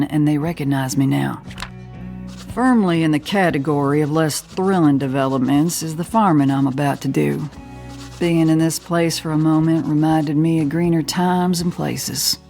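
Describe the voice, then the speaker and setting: An actress playing a weary, wry bounty hunter in a video game, speaking in a dry, folksy, sardonic way with a low gravelly voice. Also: female voice, a little mellow. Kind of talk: video game journal narration and dialogue.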